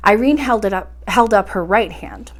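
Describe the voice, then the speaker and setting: A woman storyteller reading a bedtime story aloud in expressive narration. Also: medium pitch, normal speed.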